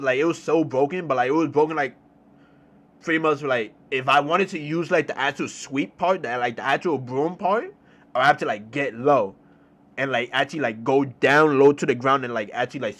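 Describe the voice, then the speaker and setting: A young man with a New York accent telling a story in a casual, slangy, animated way, repeating phrases for emphasis. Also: fairly high pitch. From New York City, United States. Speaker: storytelling streamer.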